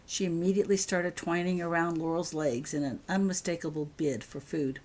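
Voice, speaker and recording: Woman author reading her story out loud, deep husky voice. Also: fairly even intonation, brisk. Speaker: author reading own fan fiction. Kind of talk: scripted audiobook narration.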